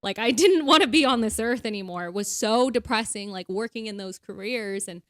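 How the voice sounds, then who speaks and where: A young woman in her twenties co-hosting a podcast, talking candidly and quickly with lots of fillers. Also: on the high side, no echo, studio quality. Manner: candid, talks fast with many "like" fillers. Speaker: podcast co-host. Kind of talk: casual chat.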